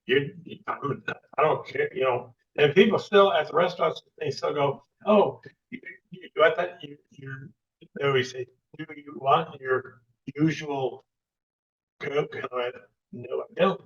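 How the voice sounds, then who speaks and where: An older man in casual chat as a podcast guest, halting, often stumbling and repeating his words. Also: a little high. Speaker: former baseball player, podcast guest. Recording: distant, lo-fi mic sound.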